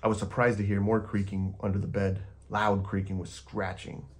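A man reading a children's story aloud as an expressive narrator. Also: fairly low pitch, normal speed. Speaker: storyteller, host.

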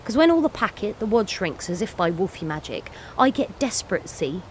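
A woman's voice: medium pitch, sing-song, fairly quick, a little hiss or hum, natural voice, low-quality recording. An actress playing a bitter, defiant working-class cleaner and self-styled wolf woman in an audio play monologue, with an earthy, sweary way of rambling. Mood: wry, confiding.

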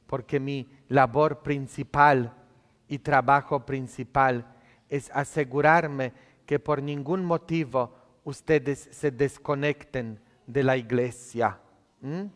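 A priest in his thirties, not a native Spanish speaker, preaching a conversational homily full of tag questions from the altar of a church. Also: medium pitch. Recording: long church reverb.

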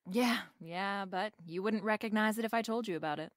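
A woman's voice: medium pitch, fairly quick, no echo, clean studio sound, lightly acted. An actress playing a wisecracking, flirtatious female private eye with a snappy, wry manner in a radio detective drama. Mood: brusque. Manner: snappy, wry, hard-boiled. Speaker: lead actress. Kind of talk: remake of 1940s radio detective drama, with narration.

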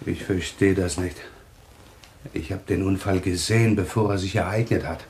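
An actor playing a fatalistic male clairvoyant in a German radio thriller, speaking in a solemn, halting, insistent way. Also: low voice, fairly even intonation, a little mellow, a little hiss or hum, close to an everyday voice. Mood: resigned, foreboding. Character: fatalistic male clairvoyant foretelling his own death.

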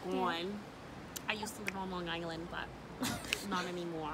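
A woman's voice: fairly low pitch, steady tone, measured pace, crowd noise. A young woman vlogger chatting playfully outdoors by the sea, arguing and laughing, recorded on a handheld phone. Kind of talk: casual chat. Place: outdoor seaside walkway.

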